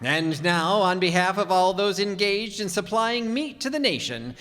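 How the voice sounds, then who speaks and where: In an echoing hall, a man plays an earnest 1940s radio announcer. His voice is high for a man and highly animated. He delivers scripted narration in a grand manner. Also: moderate pace, over music. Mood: grand, sincere. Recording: echoey hall stage recording.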